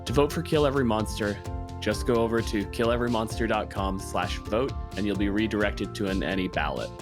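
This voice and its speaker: Male game master and show co-host, fairly low voice, casual narrating style, music clearly underneath. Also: a little fast.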